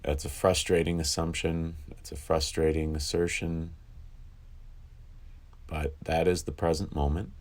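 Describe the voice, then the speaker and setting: Very deep-voiced man guiding meditation. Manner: calm, instructive, with long pauses between phrases. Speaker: meditation guide. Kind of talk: guided sleep meditation.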